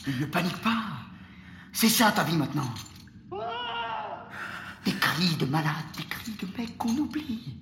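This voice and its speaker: An actor playing a taunting, death-obsessed prisoner of war in a Roman dungeon, insidious and insistent, in an audio drama. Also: male voice, fairly high pitch, lively intonation, roomy sound, background noise. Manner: insidious, insistent, halting with repeated phrases. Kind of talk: audio fiction acting.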